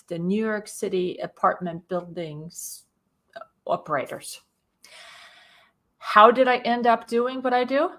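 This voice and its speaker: A woman from Sweden, a guest on a video-call podcast, explaining her business story in a clear, methodical way with light laughs. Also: medium pitch, fairly bright tone. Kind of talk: interview storytelling.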